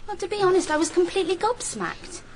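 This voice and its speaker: A woman's voice: very high-pitched, expressive, quick pace. An actress playing a guarded teenage college girl, answering hesitantly and informally as a witness in a radio drama. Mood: candid. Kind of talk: courtroom testimony in radio drama.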